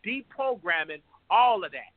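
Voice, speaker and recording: A man's voice: high-pitched, animated, measured pace, crowd noise. A man in his twenties calling in to a talk show, speaking with passion in casual vernacular. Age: 20s. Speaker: caller. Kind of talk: emotional call-in testimony. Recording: tinny phone line.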